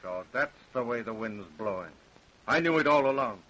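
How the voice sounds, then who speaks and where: A man's voice: mid-range voice, expressive, normal speed, sharp tone, lo-fi sound. A man playing a jealous, hot-tempered small-town husband in an old radio crime drama, talking in folksy, clipped, accusing phrases. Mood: furious, defiant. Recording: faint hiss, old radio recording.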